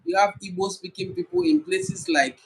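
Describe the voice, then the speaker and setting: A man's voice: extremely high pitch, big pitch swings, normal speed, gravelly, poor audio. A man with a Nigerian accent giving an educational talk to camera, listing points in a repetitive, emphatic way. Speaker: cultural commentator.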